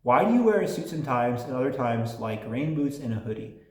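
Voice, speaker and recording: A man's voice: medium pitch, sing-song, fairly quick, a little mellow, echoey room. A man hosting his own channel, reading listener letters aloud and answering them in a rambling, chatty way with many restarts. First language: English and Polish. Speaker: channel host answering fan mail.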